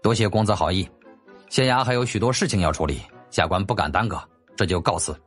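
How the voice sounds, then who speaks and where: Guy doing a harsh curt old-china official for an audiobook, formal and bossy, bit raspy. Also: low voice, a little fast, faint music behind. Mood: formal, restrained. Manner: terse, formal, commanding. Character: stern, curt male magistrate, feared harsh official.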